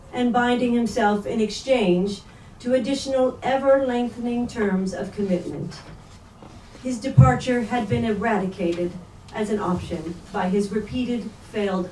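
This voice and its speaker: Female reader, mellow mid-range voice, formal prose, echoing room with faint noise. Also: medium pitch. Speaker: reader at a staged book reading. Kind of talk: literary prose reading aloud. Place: bookstore event space, indoors. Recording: roomy, distant mic, faint noise.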